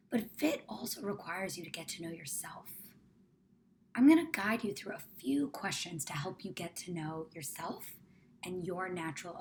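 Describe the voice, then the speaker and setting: A woman with a slightly low voice guides a meditation. She speaks in a measured, gentle and often hushed manner, giving soothing instructions. There is faint noise in the recording. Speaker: meditation guide, company founder.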